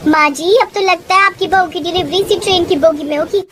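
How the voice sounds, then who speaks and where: Woman playing a helpful high-voiced lady passenger. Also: a little fast, noisy background. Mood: reassuring. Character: helpful, reassuring woman passenger, a seasoned nurse.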